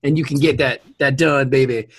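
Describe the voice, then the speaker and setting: A man's voice: mid-range voice, fairly even intonation, a little fast, breathy edge. A man chats as a podcast host with a mid-range voice. He gushes and teases by turns, swearing freely in loose, casual banter. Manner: effusive, teasing, profane.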